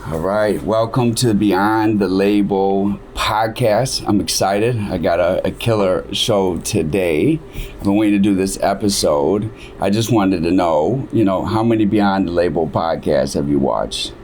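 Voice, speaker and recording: A man's voice: deep voice, unhurried, a little mellow, slight background noise. A man hosting a podcast, talking in a casual, rambling way with lots of "you know" fillers. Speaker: podcast host. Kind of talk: casual chat.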